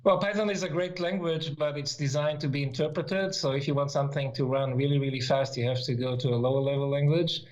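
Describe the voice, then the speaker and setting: Middle-aged native Hebrew speaker, slightly dull audio. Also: male voice, fairly high pitch, fairly even intonation, quick pace, few pauses, darkish tone. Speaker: interview guest, software developer. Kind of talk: casual, explanatory interview talk.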